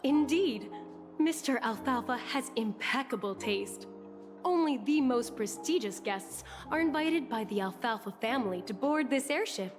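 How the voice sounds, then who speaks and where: Casting: actress playing courteous female attendant for a game, higher register, very formal, long reverb. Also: animated, normal speed, music in the background, lightly acted. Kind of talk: video game voice acting.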